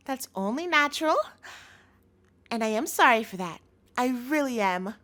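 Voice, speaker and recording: A woman's voice: a little high, animated, moderate pace, a bit bright. A woman playing an obsessive chef girlfriend in a scripted audio roleplay, sugary and coaxing with a threatening edge, speaking intimately to the listener. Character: obsessive yandere chef girlfriend, sweet but menacing.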